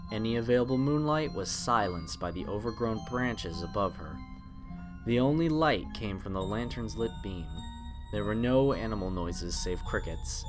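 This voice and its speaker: A man narrating a scripted horror story in a dramatic, suspenseful way. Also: lowish voice. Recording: music clearly behind the voice.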